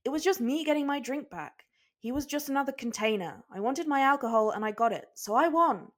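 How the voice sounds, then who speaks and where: A woman's voice: sits high, animated, brisk, voice pushed up. A woman voicing a stubborn, competitive young male swordsman in a blunt, grumbling, scornful manner. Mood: defensive.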